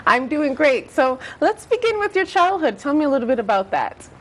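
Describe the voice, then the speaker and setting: A woman's voice: high-pitched, big pitch swings, brisk, slight background noise, rough recording. A woman host, welcoming a guest and asking friendly questions. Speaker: interview host. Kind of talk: interview questions.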